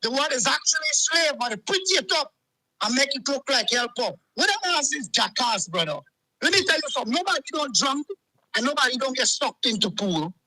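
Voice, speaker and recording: A man's voice: very high voice, very animated, brisk, sharp tone, dry recording, poor audio. A man, calling in to a show and ranting heatedly, repeating himself. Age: 40s. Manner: agitated, repetitive, keeps saying "let me tell you something". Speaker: caller. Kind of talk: heated call-in rant.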